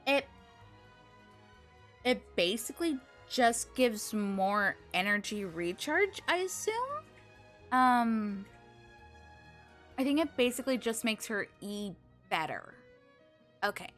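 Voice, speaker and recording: A woman with a somewhat high voice chats casually as she live streams a game. She is expressive and exclamatory, often thinking aloud to her viewers. Faint music plays behind her. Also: takes it slowly, pauses often. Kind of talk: casual chat with stream viewers while gaming.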